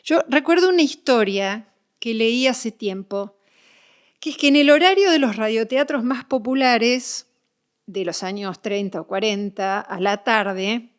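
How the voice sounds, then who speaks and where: A woman's voice: fairly high pitch, a little slow, clear, resonant tone. A woman with a Buenos Aires Argentine accent, hosting an interview in an explanatory, prepared yet conversational way. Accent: Argentine Spanish, Buenos Aires. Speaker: podcast host and interviewer.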